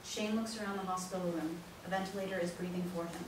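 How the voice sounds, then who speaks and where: A woman's voice: lowish voice, fairly even intonation, fast talker. A woman reading stage directions as scripted narration for a play reading. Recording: echoey room, distant mic, noisy.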